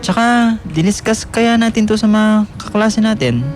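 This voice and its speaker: A man's voice: high voice, normal speed, close to an everyday voice. A man playing an easygoing, somewhat evasive teenage schoolboy in a radio drama, talking casually with hesitations. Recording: music bed behind the voice.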